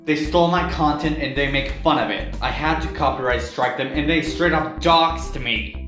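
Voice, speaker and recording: A man's voice: a little high, normal speed, a bit bright, roomy sound, faint music behind, lightly acted. A man voicing an aggrieved, whiny, defensive internet character in a parody cartoon dub. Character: aggrieved, whiny male internet troll-feeder seeking revenge.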